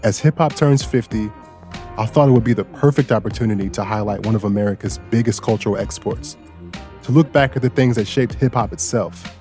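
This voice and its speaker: A man hosting a news podcast, narrating a personal story in a relaxed, lightly joking way. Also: lowish voice, fairly quick, soft background music. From Bangkok, Thailand. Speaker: guest host and audio engineer.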